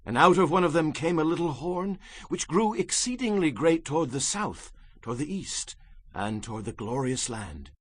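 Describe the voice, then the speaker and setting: An actor playing the prophet Daniel, solemnly and emphatically recounting his visions in a dramatized scripture reading, with a slightly husky voice. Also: a little high, a bit bright, no room sound, heavily acted. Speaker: Bible narrator.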